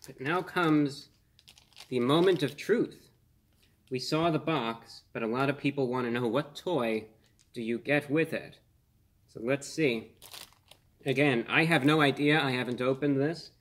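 A man in his twenties hosting a casual food review on camera, speaking in an earnest, measured way with slightly formal phrasing. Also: mid-range voice. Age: 20s. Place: small indoor room.

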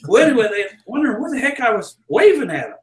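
An older man in his sixties with a Southern American accent, telling stories as an interview guest in a folksy, loud carnival-barker manner, recorded by a far camera in a small office. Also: very high voice, very animated, fast talker. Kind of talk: storytelling in a casual interview. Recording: roomy office echo.

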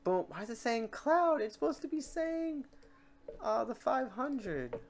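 A tutorial host with a mid-pitched voice talks over a screen recording. The delivery is unscripted and informal, rambling a little while thinking aloud through each step of the software. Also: sing-song, unhurried.

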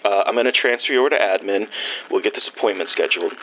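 A man playing a reassuring small-town doctor in an audio drama, gently repeating himself. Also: fairly low pitch, fast, highs cut off, a little hiss or hum, natural voice. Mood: soothing, concerned. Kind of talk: naturalistic audio drama acting.

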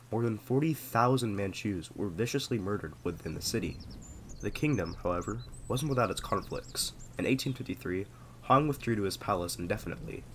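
A young man who is sick reads a scripted history explainer in a steady, explanatory way, then signs off casually. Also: sits low, slight background noise. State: sick, voice sounds off. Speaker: history video narrator. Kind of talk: scripted narration.

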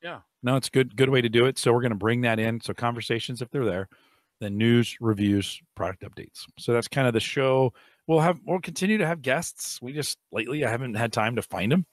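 A middle-aged man hosting a casual tech podcast, hoarse and raspy from allergies, rambling with fillers and restarts, on a boom-arm studio mic in a home studio. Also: fairly quick, no echo. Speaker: podcast host. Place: home studio room with shelves.

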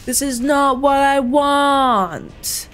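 A woman's voice: high-pitched, very slow, fairly bright tone. A young woman doing casual gameplay commentary, playful with dry complaints, close on a desk microphone. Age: young adult. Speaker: game streamer. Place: small room at home, indoors. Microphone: desk microphone, close, with headset. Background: game music.

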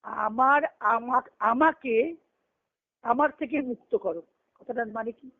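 A woman giving an earnest, emphatic devotional discourse over a conference phone line, reciting scripture and verse and pausing between short phrases. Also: fairly high pitch. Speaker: spiritual discourse speaker. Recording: tinny band-limited conference phone call.

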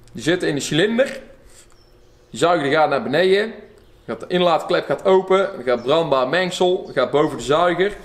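A young man, a car mechanic hosting a workshop video, explaining engine damage in a chatty, lively way. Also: on the high side. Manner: lively, chatty, laughs often.

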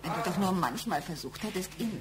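An actress in a radio crime drama playing a weary, exasperated wife, pleading and reproachful. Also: female voice, lowish voice, animated, fast, crowd noise, natural voice. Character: weary, exasperated wife caught between husband and father.

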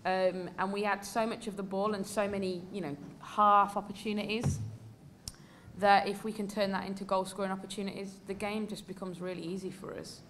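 A woman's voice: medium pitch, level tone, fairly quick, fairly bright tone, a little hiss or hum. An English woman in her thirties, a football coach answering press questions candidly and emphatically, with many fillers, at a desk mic in a press room. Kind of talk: post-match press conference answers. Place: indoor press room before a sponsor backdrop.

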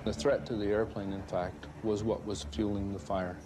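A man's voice: on the low side, flat delivery, normal speed. An older man giving an interview as an accident investigator, plain-spoken and folksy. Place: dark studio interview set. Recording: music laid under the interview.

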